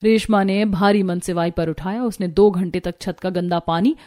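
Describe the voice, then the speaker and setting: A woman's voice: mid-range voice, moderate pace, dark tone. A woman narrating a scripted Hindi cartoon story as its storyteller.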